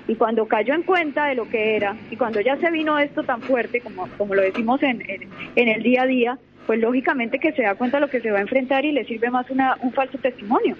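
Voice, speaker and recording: Young woman, Colombian accent, self-assured lawyer, high voice, muffled radio sound. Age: young adult. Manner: assertive, self-assured, repeats words while thinking. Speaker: lawyer guest. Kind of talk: radio phone-in interview. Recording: muffled radio audio with faint noise.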